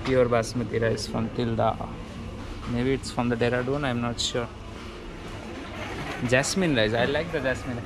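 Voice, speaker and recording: A man's voice: mid-range voice, fairly even intonation, unhurried, vocal fry, pauses often. A man, chatting and explaining as he films while shopping in a supermarket. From India. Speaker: vlogger. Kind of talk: casual chat while shopping. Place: indoors in a supermarket. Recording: noisy supermarket ambience.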